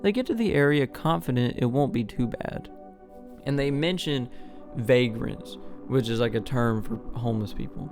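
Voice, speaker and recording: A man's voice: medium pitch, vocal fry, a little mellow. A man hosting a video essay, giving a scripted explainer narration.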